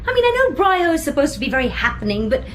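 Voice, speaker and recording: A woman's voice: high-pitched, big pitch swings, rapid-fire, slight background noise. An actress playing a skeptical young woman househunting with her partner, sarcastic and scornful, in a radio drama.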